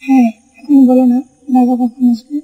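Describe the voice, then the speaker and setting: A woman's voice: high voice, level tone, moderate pace, breathy edge. A woman voicing a sulky, pleading little boy in a cartoon, whiny and insistent. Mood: eager. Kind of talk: cartoon voice acting. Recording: muffled, music bed behind. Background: background music.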